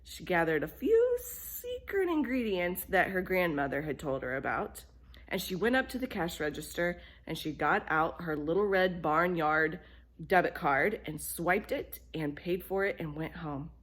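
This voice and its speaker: Low-pitched woman telling a bedtime story. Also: big pitch swings, unhurried. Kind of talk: expressive children's storytelling.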